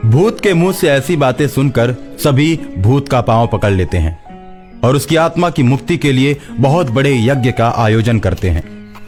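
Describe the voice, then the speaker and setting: Animated male storyteller over soft music. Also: medium pitch, normal speed. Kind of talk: scripted story narration.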